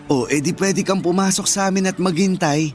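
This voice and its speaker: A man acting a frustrated young fast-food worker and devoted boyfriend in conversational, protesting radio drama dialogue. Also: sits high, faint music behind, lightly acted.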